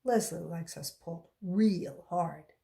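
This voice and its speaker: A woman's voice: fairly low pitch, takes it slowly, gravelly, dark tone. Woman voicing a cheeky coaxing talking pigtail. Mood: persuasive, sly.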